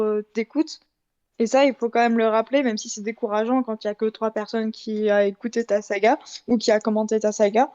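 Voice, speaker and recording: A woman explaining her opinion in a casual debate, in a measured way, on a slightly dull-sounding mic. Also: a little high, warm tone. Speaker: debate participant, amateur audio fiction maker.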